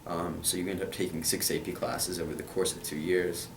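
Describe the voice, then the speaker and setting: A man's voice: low voice, little pitch movement, brisk, roomy sound, recorded from a distance, noisy background. A young man from Kentucky answering interview questions about his school in an earnest way, with plenty of hesitant fillers. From Kentucky, USA.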